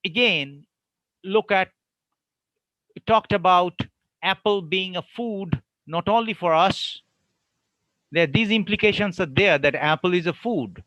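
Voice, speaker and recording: A man giving an online academic lecture, explaining with deliberate pauses between phrases. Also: sits high, fairly bright tone, dry recording, dull audio.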